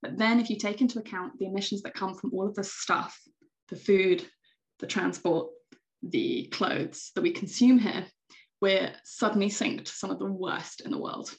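A young woman with a British accent talks through her work in an enthusiastic, chatty way, filling pauses with ums. Her voice carries a noticeable vocal fry at mid pitch. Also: low-quality recording. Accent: British English. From Britain. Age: young adult. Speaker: secretary general of a youth organization. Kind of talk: podcast interview answers.

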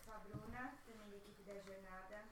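A woman's voice: medium pitch, steady tone, a little fast. A Brazilian woman from São Paulo introducing herself informally. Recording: very echoey, distant, loud noise.

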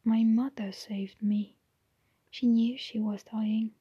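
A drugged young man recites his past in a flat, expressionless voice, whispered by a woman reading aloud. The delivery is slow and monotone. The whisper is husky. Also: a little high, dull audio, heavily acted.

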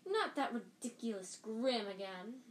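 A woman reading as a sharp, impatient clever schoolgirl, clipped and exasperated. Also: on the high side, big pitch swings, unhurried, a little hiss or hum.

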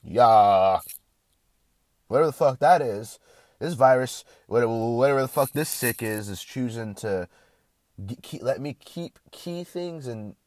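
A young man vlogging casually with a stuffy, congested nose, rambling and swearing often with lots of restarts. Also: mid-range voice.

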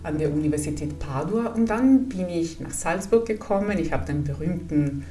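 A woman from Italy with an Italian accent, a psychologist calmly explaining exercises to listeners of her podcast in a gentle, encouraging way. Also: sits low, normal speed, recorded from a distance. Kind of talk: calm explanatory podcast talk.

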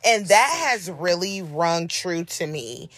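A hoarse woman co-hosting a casual podcast, talking earnestly and encouragingly with drawn-out emphasis. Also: on the low side, lively intonation, a bit bright, no room sound. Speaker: podcast co-host.

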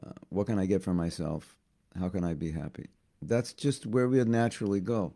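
A hoarse man giving a devotional class, talking haltingly and often repeating words as he thinks. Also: deep voice, warm tone. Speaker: spiritual teacher.

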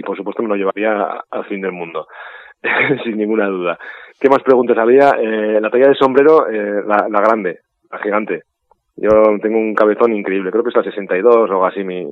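A man's voice: on the low side, no room sound. Spanish man on a tinny phone line. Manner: chatty, self-mocking, laughs easily. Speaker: musician guest. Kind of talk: casual interview over the phone.

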